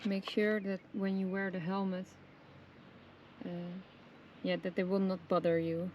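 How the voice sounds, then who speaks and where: Woman giving how-to instructions over clear noise. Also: medium pitch, level tone, unhurried, muffled recording. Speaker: product tutorial presenter. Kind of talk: casual hands-on how-to instruction. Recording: clearly noisy background with clicks.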